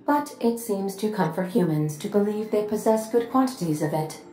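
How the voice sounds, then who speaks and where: An actress playing a polite, clinical female ship computer in a video game, speaking in formal, measured phrases. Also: mid-range voice, lively intonation, mellow, reverberant, faint music behind, natural voice, rough recording. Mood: calm, formal. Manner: formal, measured, matter-of-fact.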